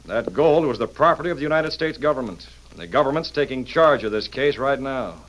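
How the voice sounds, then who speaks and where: Man playing a firm medium-pitched Western marshal. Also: expressive, brisk, fairly bright tone, lo-fi sound, lightly acted. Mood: authoritative. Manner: authoritative, plain-spoken. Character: firm, official US government marshal. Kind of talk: old-time radio western acting. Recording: faintly noisy old radio recording.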